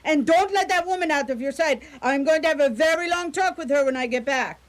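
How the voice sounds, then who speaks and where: A woman playing a bossy, excitable Italian mother in a radio play, full of exclamations. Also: high-pitched, a little fast, lightly acted. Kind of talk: community radio theatre acting.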